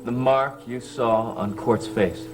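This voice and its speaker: A probing, direct man, played by an actor in a gothic TV soap. He has a medium-pitched voice and presses patiently, with music clearly underneath. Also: fairly even intonation, slow, lightly acted. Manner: direct, questioning. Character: probing, insistent man gently questioning a troubled woman. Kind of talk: gothic soap opera acting. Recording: vintage TV soundtrack with music.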